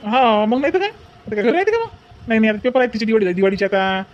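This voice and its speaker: A man's voice: high voice, animated, fast talker, highs cut off. A man playing an exasperated, talkative village father in a cartoon, grumbly and matter-of-fact.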